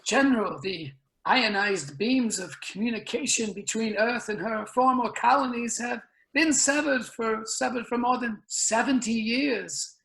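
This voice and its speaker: A woman playing the dignified, formal president of a dying future Earth in a science fiction dramatic reading, speaking in a measured way. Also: a little high, vocal fry, a bit bright, poor audio. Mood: grave, apologetic.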